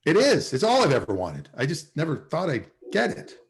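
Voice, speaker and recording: A man's voice: fairly high pitch, huge pitch swings, a little fast, creaky, exaggerated voice. A man playing a lovesick, wisecracking, anxiously hopeful sitcom character in a table read over a video call. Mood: wistful.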